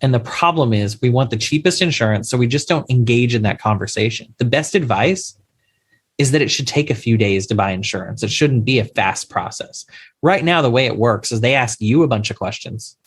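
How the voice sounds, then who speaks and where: An emphatic man gives advice as a podcast guest, with a middle-pitched voice. He stresses his points and often asks questions back, keeping a plain, explanatory manner. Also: lively intonation, brisk, husky. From Louisiana, USA. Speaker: insurance attorney guest. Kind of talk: podcast interview, advising investors.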